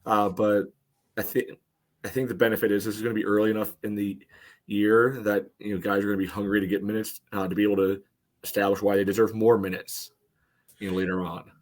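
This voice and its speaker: A man giving casual sports analysis as a podcast co-host, in a halting, conversational way. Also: fairly low pitch, flat delivery.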